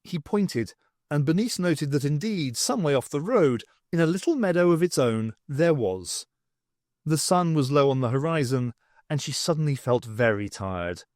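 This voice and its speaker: A man reading scripted fiction as an expressive audiobook narrator. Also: on the high side, normal speed, no room sound, studio quality.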